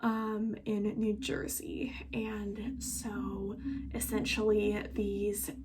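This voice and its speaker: A woman's voice: mid-range voice, flat delivery, extremely slow. A young woman, a student actor, speaking in a casual interview. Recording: noisy recording.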